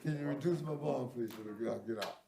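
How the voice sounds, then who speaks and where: A man's voice: medium pitch, a little fast, gravelly, a crowd in the background. A man in his seventies, a defendant pleading with a judge at a bond hearing, rambling and repeating himself with many a "ma'am". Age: 70s.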